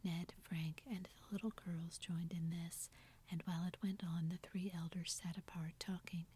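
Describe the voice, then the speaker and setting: A woman reads a classic story aloud in a hushed, breathy whisper. Her voice is low and very even, with little rise or fall. The delivery is soft and steady, made for ASMR listening. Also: normal speed. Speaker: narrator.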